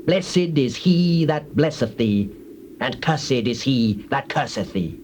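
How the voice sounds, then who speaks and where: An actor playing a solemn, defiant prophet in a dramatized audio Bible, declaiming grand oracles like incantations. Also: male voice, mid-range voice, lively intonation, slow pace, darkish tone. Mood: solemn, prophetic. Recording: hissy old cassette tape.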